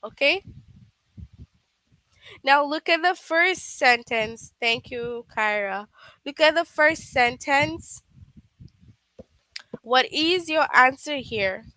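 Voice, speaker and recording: A woman's voice: high-pitched, lively intonation, takes it slowly, long pauses, sharp tone, dry recording, poor audio. A woman teaching a live online grammar lesson, patiently checking understanding with frequent "okay?".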